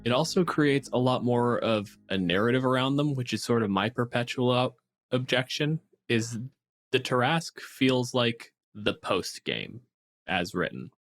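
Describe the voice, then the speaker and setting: A man co-hosting a casual tabletop game discussion, explaining things in an animated way and swearing freely. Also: medium pitch, measured pace, faint music behind. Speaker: podcast co-host.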